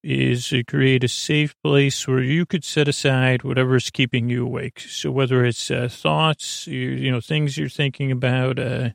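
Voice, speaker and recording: A man hosting a bedtime podcast in a creaky voice, soothing and lulling, with a halting, stammering, meandering way of talking. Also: mid-range voice, little pitch movement, dry recording, studio quality. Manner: halting, stammering, trailing into tangents; soothing, lulling, gently whimsical. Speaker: host of a bedtime story podcast. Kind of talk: rambling, meandering sleep-podcast monologue.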